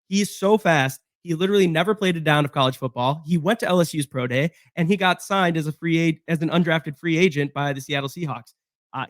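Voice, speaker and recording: Hoarse man breaking down a football roster. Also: on the high side, no room sound, studio quality. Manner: chatty, rambling with fillers and restarts. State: hoarse from being sick. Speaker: sports podcast host. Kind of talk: casual solo sports breakdown.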